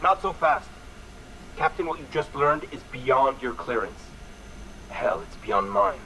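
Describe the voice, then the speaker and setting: Sharp, menacing male commander. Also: medium pitch, lively intonation, a little slow, a little hiss or hum, low-quality recording. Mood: menacing, stern. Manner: commanding, clipped, condescending. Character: menacing, haughty male military commander in corporate service. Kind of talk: video game villain dialogue acting.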